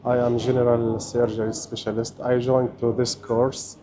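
A male general surgeon from Syria speaking in simple, halting phrases on a lapel mic. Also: medium pitch, level tone, background noise.

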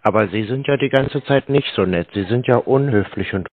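A man's voice: medium pitch, normal speed. A man on an unscripted phone call, playing a naive customer who stubbornly keeps questioning. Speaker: prank-calling YouTuber posing as customer. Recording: slightly dull lo-fi phone recording.

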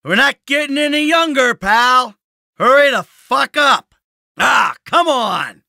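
Video game role: weary middle-aged American ex-crook, sarcastic and short-fused, forceful bright tone, unhurried delivery. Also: male voice, very high voice, lively intonation, vocal fry, no echo, clean studio sound, heavily acted. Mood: needling. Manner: sarcastic, grumbling, foul-mouthed. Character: weary, sardonic, hot-tempered middle-aged retired male criminal. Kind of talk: acted video game voice lines.

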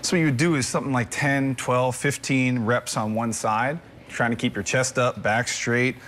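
A man's voice: mid-range voice, steady tone, moderate pace, a bit bright, slight background noise. A man in his thirties, a fitness trainer, giving an upbeat live demonstration in a TV news studio on a lapel mic, talking while doing floor exercises. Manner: upbeat, instructional, says "absolutely" often.

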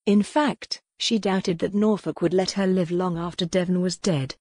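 A woman's voice: on the low side, steady tone, normal speed, a little mellow, no room sound, studio quality. A woman narrating a historical romance audiobook in a scripted, dramatic storytelling style.

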